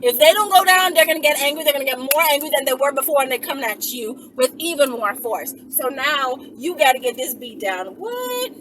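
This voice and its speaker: Female vlogger, very high voice, emphatic and foul-mouthed casual storyteller, recorded away from the mic. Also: fairly quick, few pauses. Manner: animated, emphatic, sweary. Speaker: channel host. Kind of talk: casual self-defense storytelling, acted-out scenario. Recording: distant mic with clear background noise.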